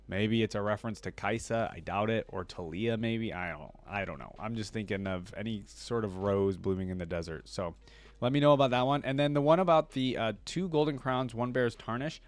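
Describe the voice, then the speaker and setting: A man hosting a reaction video, chatting casually and thinking out loud with frequent restarts. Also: on the low side, no room sound. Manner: rambling, thinks aloud with restarts.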